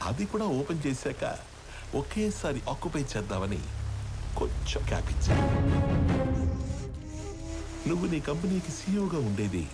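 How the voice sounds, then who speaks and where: Casting: mocking, threatening action-film gangster, theatrical sarcasm, lively higher-set voice. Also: male voice, fairly quick, over loud music. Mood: taunting threat. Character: menacing, mocking male gangster threatening rivals calmly. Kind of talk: Telugu action film dialogue. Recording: loud film score over dialogue.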